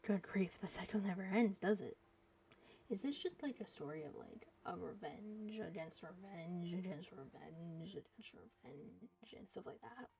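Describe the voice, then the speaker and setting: A woman's voice: fairly low pitch, animated, measured pace, creaky, mellow, muffled recording, a little hiss or hum. A woman live streaming a game, reading dialogue aloud and reacting, sometimes stumbling over the words.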